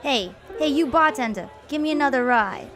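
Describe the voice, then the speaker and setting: A man plays a drunken barfly gunman in a radio drama. His voice sits high and strained, and he taunts and gloats as he gives orders. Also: animated, moderate pace, music in the background, crowd noise. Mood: drunk, demanding. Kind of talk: old-time radio drama acting.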